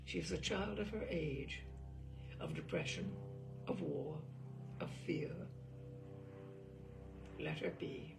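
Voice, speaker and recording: Slow, gravelly, weary mother, read by a woman. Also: medium pitch, exaggerated voice. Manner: halting, deliberate, intense. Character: weary, regretful working mother confiding about her daughter. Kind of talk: dramatic first-person short story reading.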